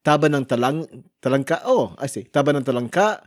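A man's voice: mid-range voice, animated, brisk, no echo, studio quality. A man from the Philippines co-hosting a casual podcast, reading dish descriptions and laughing often as he trips over words. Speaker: podcast co-host.

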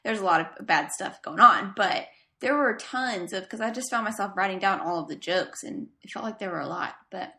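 A woman's voice: mid-range voice, fairly quick, vocal fry, pushed and bright. A woman co-hosting a podcast, recapping in casual, rambling chat and laughing easily. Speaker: podcast co-host.

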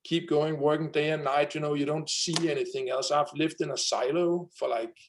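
A man in his thirties with a Danish accent, a company founder telling his story in an interview, energetic and full of fillers and restarts. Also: fairly high pitch, moderate pace, a little hiss or hum. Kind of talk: conversational interview.

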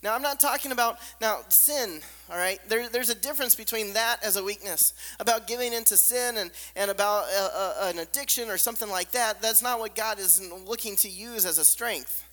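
A man with a squeaky voice preaching a sermon, hammering points through repetition and dropping to soft, hushed lines. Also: brisk, few pauses. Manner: emphatic repetition, drops to soft near-whispers. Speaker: pastor. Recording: long reverb of a large hall.

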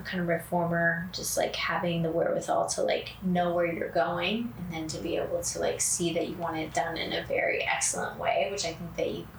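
A woman hosting a podcast in a casual chat, with a soothing, even cadence and many fillers. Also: fairly low pitch, creaky. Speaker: podcast host. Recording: roomy, distant mic, faint noise.

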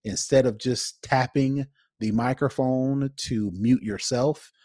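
A man's voice: medium pitch, steady tone, takes it slowly, dark tone, no room sound, studio quality. A man co-hosting a podcast in casual chat, explaining things informally and circling back to rephrase. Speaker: podcast co-host.

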